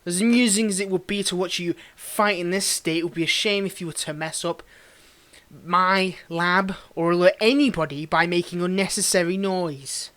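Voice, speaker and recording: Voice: mid-range voice, normal speed, a bit bright, close to an everyday voice. An actor playing a cold, condescending tsundere villain in a dramatic audio roleplay, taunting and theatrical as they address the listener directly. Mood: taunting, haughty. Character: cold, mocking tsundere villain, grudgingly tending a wounded hero.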